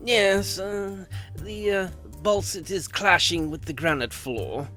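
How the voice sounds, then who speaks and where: A man voicing a cocky, flippant dragon assassin and family dad in an animated fantasy series, with sarcastic, stammering banter. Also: sits high, slow pace, soft background music, heavily acted. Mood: sardonic.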